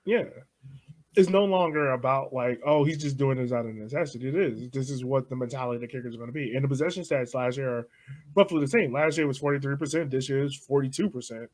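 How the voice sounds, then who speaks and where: A man's voice: medium pitch, fast. Chatty young man talking soccer. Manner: loose and chatty, laughs often, trails off softly. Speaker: podcast co-host. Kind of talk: casual sports podcast chat.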